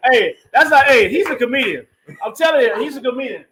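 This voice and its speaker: A man chatting casually as a play producer, telling stories in an animated way and acting out bits of conversation. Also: very high-pitched, fast, a crowd in the background. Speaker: stage play producer and guest. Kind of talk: casual conversational storytelling at a group interview. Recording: roomy, echoey room sound.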